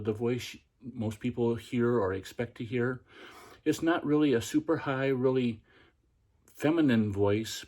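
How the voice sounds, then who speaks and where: Man speaking in a soft, raised feminine voice. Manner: speaks in a practiced feminine voice, softened and quiet; pitch raised by tensing the throat. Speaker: podcast host. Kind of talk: casual instructional monologue.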